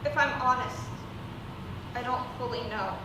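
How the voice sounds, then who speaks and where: A woman's voice: fairly high pitch, unhurried, close to an everyday voice. A woman playing a hurt, resentful but dutiful sibling, speaking earnestly and emotionally in an amateur stage drama. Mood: subdued, earnest. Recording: echoey room from far off, noisy.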